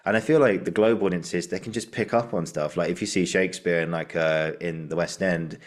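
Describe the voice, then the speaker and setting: A man's voice: sits low, fairly even intonation, brisk. A man hosting a podcast, sharing anecdotes in an eager, informal, chatty way. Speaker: podcast host. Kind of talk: casual anecdotal chat.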